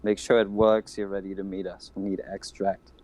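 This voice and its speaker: A man's voice: low-pitched, flat delivery, normal speed, a little mellow. A man playing a tabletop game, speaking in character in clipped, military-style talk. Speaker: player.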